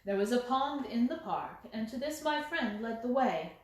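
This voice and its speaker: A woman's voice: a little high, expressive, normal speed. A woman reading a story aloud as scripted audiobook narration. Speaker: audiobook reader. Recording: very echoey room.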